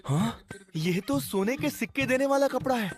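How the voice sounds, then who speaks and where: A man voicing a kind, generous farmer in an animated moral story, who speaks in a caring and expressive way. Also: very high-pitched, moderate pace, soft background music. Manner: warm-hearted, caring, expressive. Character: kind, generous farmer hero, middle-aged. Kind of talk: cartoon voice acting.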